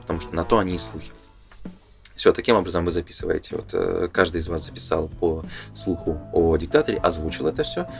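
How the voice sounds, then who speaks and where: Man, very low voice, casual presenter, hesitant thinking-aloud commentary, clear music underneath. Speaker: presenter reading through a game booklet. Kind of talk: casual unscripted explanatory commentary.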